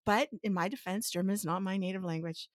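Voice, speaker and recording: A woman with a mid-range voice hosts a relaxed podcast chat, talking through her ideas step by step and often circling back with rhetorical questions. Her first language is Spanish. The sound is dry and close, with no room around it. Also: medium pitch, fast, no echo. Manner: thinks aloud, with many restarts and rhetorical questions; explains step by step to her co-host. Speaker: podcast host.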